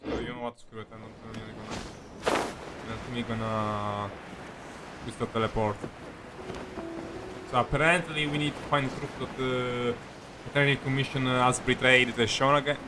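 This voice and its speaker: A man streaming a video game with casual, rambling commentary, halting and jokey, often repeating his words. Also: mid-range voice, noisy background. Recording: game music behind the voice. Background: game music.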